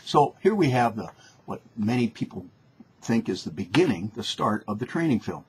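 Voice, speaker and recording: A man with a fairly low voice explains like a lecturer, informal and instructive. He rephrases points for emphasis. There is faint noise behind him. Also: big pitch swings, normal speed.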